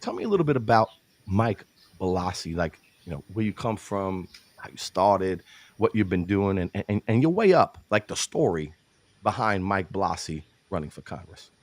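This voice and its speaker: Low-voiced, laughing New York man hosting. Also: very animated, normal speed, no echo. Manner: hypes guest, laughs often, calls him brother. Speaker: show host. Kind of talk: casual interview chat.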